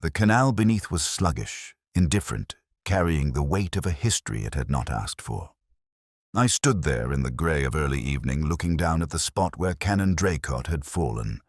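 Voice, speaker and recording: A man playing a brooding older detective inspector, narrating an audio drama in a measured, reflective, literary way, solemn and wry. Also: a bass voice, lively intonation, no echo, clean studio sound, full character voice.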